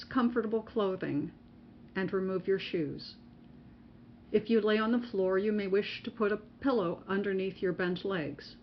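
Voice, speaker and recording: A woman narrating a guided meditation as a mindfulness teacher, in measured, instructional phrases with pauses between them. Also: mid-range voice. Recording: muffled recording with faint background noise.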